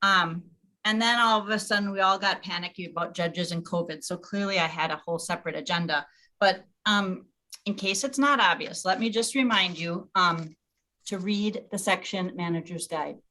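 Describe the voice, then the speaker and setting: A woman's voice: lowish voice, moderate pace, bright, forceful tone, poor audio. A woman leading an informal online meeting, chatty and often interrupting herself as she briefs the group. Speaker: meeting leader and organizer.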